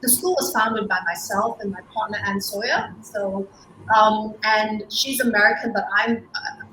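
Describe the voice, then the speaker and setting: School co-founder, woman, clear bright voice, talks easily with lots of restarts, roomy sound over a webcam. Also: mid-range voice, normal speed, a little hiss or hum. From Hong Kong. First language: Cantonese. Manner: warm, fluent with many restarts and ums. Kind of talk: interview on a livestream.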